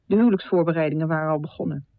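An actress playing a biographical narrator in a radio drama, telling a life story in a measured, scripted way. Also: female voice, deep voice, no echo, phone-quality sound, lightly acted. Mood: composed. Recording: thin, band-limited dry radio recording.

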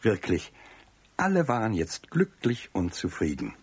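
Male narrator for children's radio story: very raspy, animated delivery, expressive, talks straight to the listener. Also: on the low side, unhurried. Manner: expressive, addresses young listeners directly.

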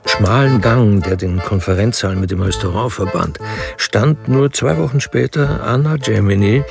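An actor as a sober, wry narrator of a crime radio drama, speaking in measured scripted prose. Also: male voice, fairly low pitch, creaky. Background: music.

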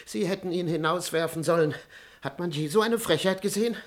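An actor playing a servile, cowardly manservant in a classical comic radio play, obsequiously flattering his master. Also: sits high, a little fast, natural voice. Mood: fawning.

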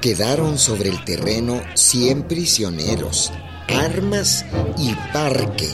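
A man narrating revolutionary history for a radio drama in a measured, formal, solemn way. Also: medium pitch, sing-song. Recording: loud music bed under narration.

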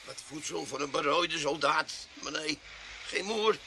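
An actor playing a shifty, boastful tramp and self-styled war veteran in a radio play, stammering and pleading in a self-pitying way. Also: male voice, a little high, unhurried, creaky, sharp tone, heavily acted. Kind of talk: radio drama acting. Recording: faintly noisy radio play recording.

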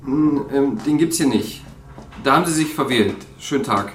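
A man's voice: medium pitch, lively intonation, normal speed, echoey room, distant mic, noisy background, close to an everyday voice. An actor playing an evasive male tenant, hesitant and stammering, in a naturalistic audio drama. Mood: nervous, flirty. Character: evasive male urban tenant on an awkward first date.

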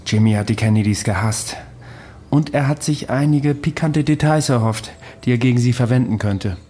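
An actor playing an unrepentant, self-assured former private detective and killer, speaking matter-of-factly in a radio drama. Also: male voice, mid-range voice, moderate pace, mellow, slight background noise, lightly acted. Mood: matter-of-fact, brooding.

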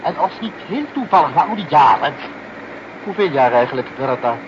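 A man's voice: fairly high pitch, animated, fairly quick, close to an everyday voice. A man acting a pompous, flustered senior official in a Dutch radio play, blustering and then dithering. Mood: exasperated. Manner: blustering, hesitant, rhetorical. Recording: muffled, noisy old radio play.

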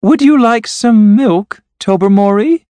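A man voicing a flustered, high-strung upper-class hostess with an unnatural, agitated manner in a storybook reading. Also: unhurried, mellow, dry recording. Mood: strained politeness. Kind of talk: storybook character voice.

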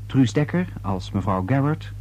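A man reading a scripted radio drama announcement in a formal, measured manner. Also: mid-range voice, expressive, darkish tone.

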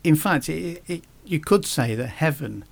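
Gravelly-voiced man, halting talker. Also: on the high side, animated. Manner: halting, many restarts and fillers. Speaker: guest. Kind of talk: casual podcast conversation.

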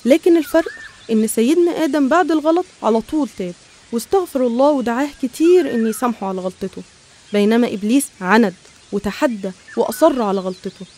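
A woman with an Egyptian accent narrating and explaining a scripted animated story. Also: fairly high pitch, fast talker.

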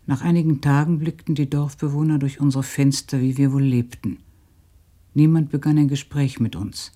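Very mellow, dark male narrator. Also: on the high side, fairly even intonation, normal speed. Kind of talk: scripted literary radio narration.

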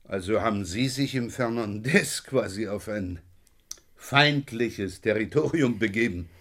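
Shrewd, sardonic police inspector. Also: male voice, on the low side, measured pace, a bit bright. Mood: shrewd, needling. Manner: probing, wry, pointed questioning. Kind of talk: German radio crime drama acting.

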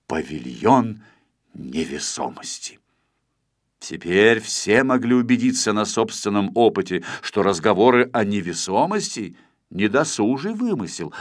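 A man narrating a children's storybook in an expressive, scripted storytelling style. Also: deep voice, dry recording, clean studio sound. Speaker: audiobook narrator.

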